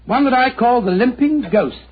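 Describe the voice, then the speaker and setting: A man's voice: very high-pitched, normal speed, slightly rough. A man playing a genial old British retired doctor, telling stories in an avuncular, theatrical 1940s radio style. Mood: grave, dramatic. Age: older. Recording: noisy, muffled, crackly 1940s radio recording.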